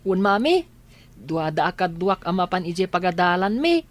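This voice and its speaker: A woman's voice: on the low side, big pitch swings, moderate pace. A woman voicing a young schoolboy, a dutiful son in a radio drama. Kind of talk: Ilocano radio drama acting.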